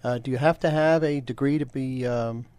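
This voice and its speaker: A man's voice: medium pitch, moderate pace, a little mellow, smooth, dry recording. A man with a New York accent hosting a radio talk show, joking and chatty with his guest. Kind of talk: radio interview.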